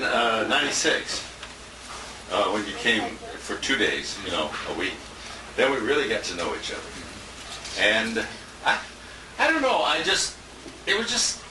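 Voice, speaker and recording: A man gives an informal, anecdotal tribute speech. His pitch moves around a lot as he rambles and chuckles. The handheld recording is roomy, with clear noise behind him. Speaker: radio colleague giving a tribute. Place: restaurant dinner event, indoors. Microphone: handheld compact camera at a distance. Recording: distant handheld camera, roomy, noisy.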